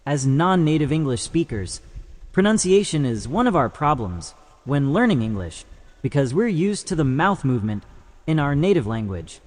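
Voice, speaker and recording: A man who is an English learner, describing his pronunciation difficulties in a lively, explanatory way. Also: a little high, moderate pace, big-room sound.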